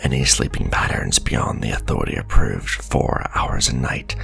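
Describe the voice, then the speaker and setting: A man playing a stern, menacing government agent in an ASMR roleplay, speaking in a pompous, deliberate, accusatory way, half whispered. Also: fairly bright tone, soft background music, exaggerated voice. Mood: stern, accusing. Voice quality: deep, gravelly, breathy near-whisper.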